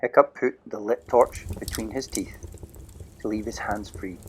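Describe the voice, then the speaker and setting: A man narrating a children's storybook audio play with lively, expressive storytelling. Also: on the low side, a little slow, slight background noise.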